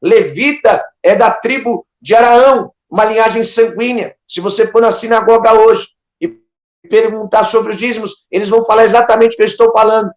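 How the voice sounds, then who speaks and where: A man's voice: high-pitched, steady tone, a little fast. A Brazilian man preaching an impassioned sermon, emphatic and exhorting, with rhetorical questions and devotional interjections. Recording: echoey, reverberant room sound.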